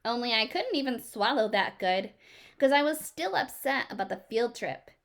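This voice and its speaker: A woman reading aloud to children as a teacher, expressive, pausing to ask questions and explain things simply. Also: a little high.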